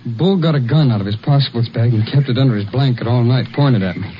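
An actor playing a terrified young logging hand in a 1950s western radio drama, pleading and stammering in panic. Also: male voice, medium pitch, fast, natural voice. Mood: shaken, confessing. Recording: dull, faintly noisy old radio recording.

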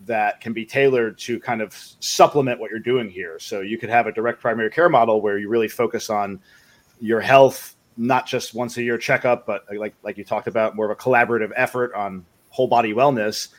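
A man's voice: fairly low pitch, a little fast. Conversational male podcast host. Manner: relaxed, conversational, loosely phrased questions. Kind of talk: podcast interview.

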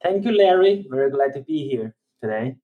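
A man from China, a ministry executive, giving an earnest personal testimony on a remote call, often pausing to search for words. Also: medium pitch, lively intonation, phone-quality sound.

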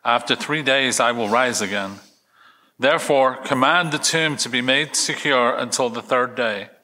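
A scornful, accusing chief priest read by a man in a church scripture reading. The voice is medium in pitch with a bright, forceful edge. It declaims into a long hall reverb. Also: moderate pace, natural voice. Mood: formal, accusing. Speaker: reader of the chief priests' part.

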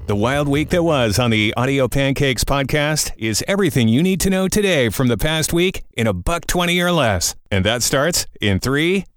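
A man's voice: medium pitch, lively intonation, normal speed, hardly any pauses, a bit bright, no echo. A man hosting a morning-show style podcast in a conversational way, tossing in jokey asides. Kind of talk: upbeat radio show hosting with trivia.

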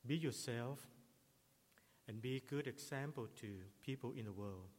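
A middle-aged man whose first language is Thai, preaching a sermon in English in a slow, measured way. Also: medium pitch, darkish tone. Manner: slow, measured delivery with non-native English phrasing. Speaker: pastor. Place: church. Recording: long reverb of a church hall.

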